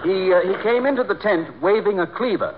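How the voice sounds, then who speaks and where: A man's voice: sits high, brisk, natural voice. An actor playing a polite, fussy weatherman who rambles literal-mindedly, in 1940s radio comedy style. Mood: earnest, rambling. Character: fussy, genial weatherman telling rambling, muddled tales. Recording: noisy, phone-like 1940s radio recording.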